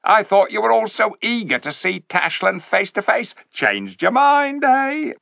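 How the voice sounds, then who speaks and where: A shrill jeering ape. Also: male voice, sing-song, unhurried, creaky, sharp tone, no echo, exaggerated voice. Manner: mocking, jeering, cackling. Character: sly jeering male ape, shrill and bossy. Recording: dull lo-fi recording.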